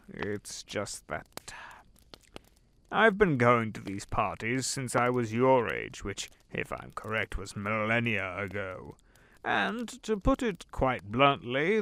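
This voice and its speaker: A man playing an ancient, suave devil gentleman. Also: mid-range voice. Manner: genteel, condescending, drawling. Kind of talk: fan audio drama acting.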